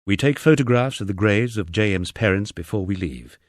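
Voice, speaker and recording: Middle-aged man, English accent, memoir narration, animated pitch, fairly low voice, close dry recording. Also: a little fast, dry recording. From England. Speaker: audiobook narrator reading a memoir. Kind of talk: scripted first-person narration.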